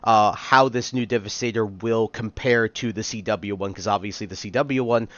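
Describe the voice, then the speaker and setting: Low-voiced guy, kinda nasal and stuffed up from a cold, chatty toy review, dull dry home recording. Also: normal speed, a bit bright, no room sound. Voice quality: slightly nasal. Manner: upbeat, chatty, frequent "um" and "like". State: congested, getting over a cold.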